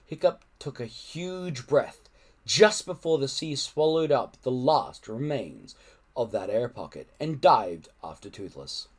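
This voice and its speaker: A man reading a children's adventure book aloud in an expressive narrating style. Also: medium pitch, unhurried, husky. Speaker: audiobook-style reader.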